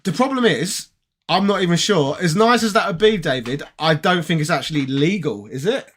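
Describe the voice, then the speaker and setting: Raspy-voiced British game streamer. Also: male voice, on the high side, animated, a little fast, a bit bright. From Britain. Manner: chatty, reads viewer comments aloud, laughs often. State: raspy, tired voice. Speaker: retro gaming streamer. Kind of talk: casual live-stream chat.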